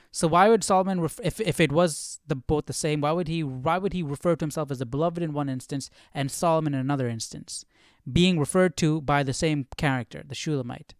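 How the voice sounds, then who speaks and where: A man's voice: on the high side, brisk, no echo, clean studio sound. A man hosting a live Bible study, thinking aloud in casual commentary and puzzling through questions as he goes.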